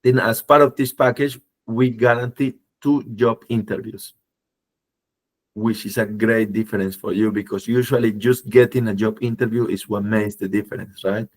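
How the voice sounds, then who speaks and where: A man presenting a webinar, his voice a bit low and tired from travel, speaking in non-native phrasing with frequent fillers and tag questions. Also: steady tone, normal speed. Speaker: company head presenting a webinar. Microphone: video call.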